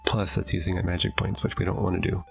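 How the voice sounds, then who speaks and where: Deep gravelly middle-aged man, stuffy. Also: flat delivery, fast, faint music behind. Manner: thinking aloud, hesitant, self-correcting. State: stuffy, sniffling. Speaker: let's play host. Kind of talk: casual gameplay commentary. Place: home basement.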